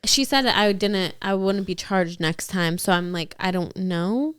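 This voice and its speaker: A woman's voice: mid-range voice, a little slow, a bit bright, smooth. A young adult woman co-hosting a podcast, telling a story in a chatty way and saying "like" a lot. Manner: chatty, heavy use of "like". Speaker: podcast co-host. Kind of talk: casual storytelling chat.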